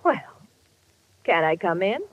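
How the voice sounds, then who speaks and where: A muffled, faintly noisy old radio recording of a detective's former girlfriend. She speaks slowly and rather low, with a lively melody in 1950s drama style. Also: full character voice. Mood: coy, familiar. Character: unhurried woman, detective's old girlfriend paying a visit.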